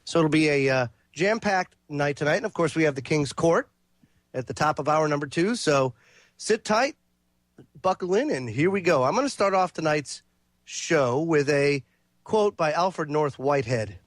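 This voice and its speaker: A man hosting a talk radio show, his voice sitting a touch high and sounding congested from a cold. He talks through a long monologue, reading passages aloud and stumbling over fillers and restarts, all caught on a dry, close recording with no room echo. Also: expressive, normal speed. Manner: reads quotes and lists aloud, with fillers and restarts.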